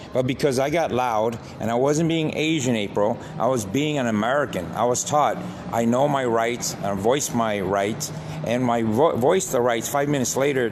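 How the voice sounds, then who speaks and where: Vietnam-born man, English non-native, emphatic livestream host talking on a phone outside with faint street noise. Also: medium pitch. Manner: emphatic, repeats himself, asks for agreement. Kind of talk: casual outdoor livestream rant. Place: outdoors near a government building. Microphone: phone held by the speaker.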